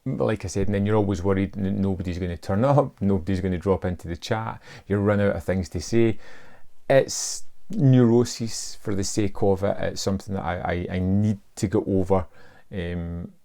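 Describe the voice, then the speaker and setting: A man's voice: deep voice, moderate pace, creaky, dark tone. A man hosting a film channel, talking casually and reflectively to camera, with frequent fillers and restarts. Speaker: film review channel host.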